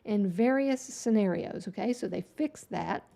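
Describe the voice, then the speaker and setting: A woman hosting a casual web design livestream, chatting folksily as she walks through each step on screen, her voice going hoarse. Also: medium pitch, lively intonation, unhurried, warm tone. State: losing her voice. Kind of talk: casual screen-share tutorial chat.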